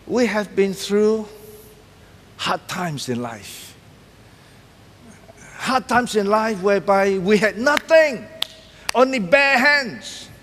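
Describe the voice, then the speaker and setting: A middle-aged male pastor preaching with a high, lively voice that leaps up and drops low for emphasis. He speaks slowly and deliberately, hammering single words again and again. The sound carries a long reverb from a large church hall. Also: slight background noise. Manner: emphatic, repeats key words, pacing and gesturing widely. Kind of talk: sermon. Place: large church stage decorated for Christmas.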